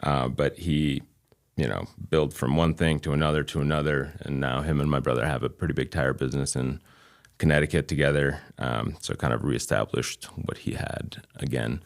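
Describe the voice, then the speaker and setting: A man in his thirties, a native Albanian speaker from Kosovo with a light New England accent, talking as a guest in a measured, reflective way with frequent pauses. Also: extremely low pitch, fairly even intonation, creaky. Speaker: guest chiropractor. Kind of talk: casual interview chat.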